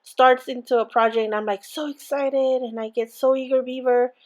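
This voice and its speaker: A woman's voice: high-pitched, steady tone, normal speed, a little mellow. A woman with an accent hosting a casual crafting tutorial, chatty and rambling with many fillers and restarts. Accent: non-native English accent. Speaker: crafting channel host.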